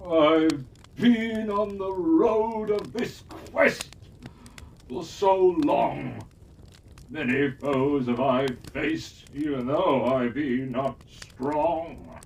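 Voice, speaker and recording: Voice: mid-range voice, very slow, a little mellow. A storyteller voicing an aging male elf champion, speaking in rhyming verse with a solemn, pleading tone. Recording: distant mic, faint hiss.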